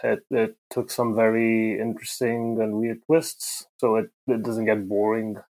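A man's voice: on the low side, little pitch movement, unhurried, a little mellow, low-quality recording. A man chatting casually as a podcast guest, giving his review in a conversational way.

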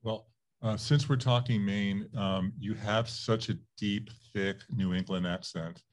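Low-voiced man teasing his guest. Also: steady tone, a little slow. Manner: joking, teasing questions. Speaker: interviewer. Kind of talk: casual interview.

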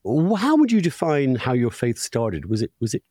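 A man's voice: medium pitch, huge pitch swings, moderate pace, warm tone, breathy edge, no echo, clean studio sound. A man hosting a podcast, interviewing in a conversational, probing and emphatic way. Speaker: podcast host.

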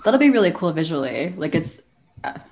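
A woman with a fairly low voice takes part as a podcast co-host. She speaks casually, in short conversational reactions. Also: very animated, fairly quick, a little mellow.

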